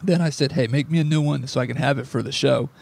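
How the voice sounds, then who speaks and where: A man hosting a sports podcast who has lost most of his voice and sounds hoarse, talking in a rambling, self-deprecating way. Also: mid-range voice, fast, a little mellow. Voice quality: gravelly. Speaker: podcast host. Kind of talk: casual sports podcast chat.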